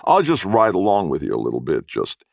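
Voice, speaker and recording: Casting: narrator acting a loud, brash, excitable working guy for a storybook, slangy, with big pitch swings. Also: fairly quick, creaky, no room sound, heavily acted. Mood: eager. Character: brash, eager male shop partner, girl-crazy and excitable. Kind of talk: audiobook character voice.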